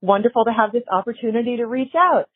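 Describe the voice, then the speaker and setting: A woman's voice: a little high, fast, slightly rough. A woman in her forties leaving a polite, articulate question as a caller. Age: 40s. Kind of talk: recorded voicemail question. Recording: phone line.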